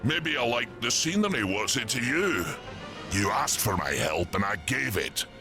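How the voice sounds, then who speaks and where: An actor playing a gruff, proud, irritable giant creature in a video game, with a taunting manner. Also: male voice, mid-range voice, big pitch swings, unhurried, over music. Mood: irritated. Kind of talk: video game cinematic acting.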